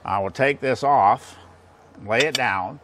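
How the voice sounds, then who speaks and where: A man's voice: medium pitch, takes it slowly. An American man in his sixties giving a plain-spoken, folksy technical demonstration, explaining things step by step. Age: 60s. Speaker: instructor demonstrating engine balancing equipment. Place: large indoor machine shop. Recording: clear background noise, workshop ambience.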